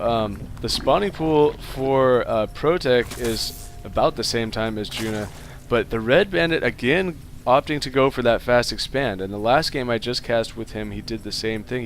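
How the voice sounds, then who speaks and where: A man's voice: fairly low pitch, a little hiss or hum. A man with a stuffed-up nose giving casual solo play-by-play commentary on a strategy game, with plenty of fillers. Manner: casual play-by-play, many fillers, rising excitement in fights. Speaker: esports caster.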